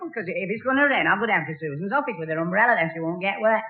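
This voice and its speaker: A soft, lovesick young boyfriend. He stammers and gets muddled in flustered sitcom style, on a roomy, thin and tinny 1960s radio recording. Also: sits high, lively intonation, very fast, background noise.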